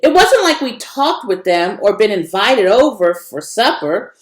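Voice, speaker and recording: A woman's voice: medium pitch, big pitch swings, a little slow. A woman narrating a children's story expressively, in a roomy, echoing recording. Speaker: read-aloud narrator.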